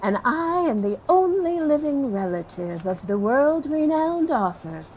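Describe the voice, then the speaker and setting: A woman playing an old-fashioned interviewee in her thirties, deliberate, with theatrical pauses. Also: high voice, big pitch swings, very noisy. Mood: deliberate, poised. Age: 30s.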